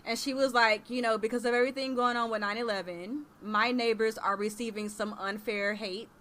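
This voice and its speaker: Woman, 30s, teacher host; bright, slightly high voice, animated, laughs easily; video call with faint hiss. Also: brisk. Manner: animated and expressive, laughs often, trails off and restarts. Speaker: kindergarten teacher and podcast host. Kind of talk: casual chat.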